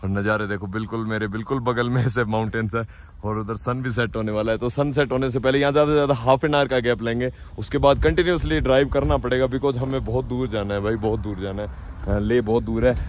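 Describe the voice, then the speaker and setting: A man in his thirties talks to his phone at arm's length as he vlogs a road trip, chatty and upbeat as he points out the sights. He is outdoors on a mountain road. Wind and vehicle noise come through clearly behind him. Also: mid-range voice, fairly even intonation, fast. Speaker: travel vlogger. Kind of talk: casual travel vlog to camera. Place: outdoors on a high mountain roadside.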